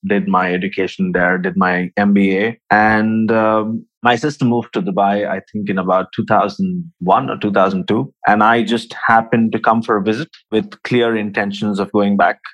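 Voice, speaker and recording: Man from India, 40s, low-pitched, conversational, podcast guest. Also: normal speed, hardly any pauses. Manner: relaxed, conversational, with fillers. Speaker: podcast guest, agency founder. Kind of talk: casual interview answers.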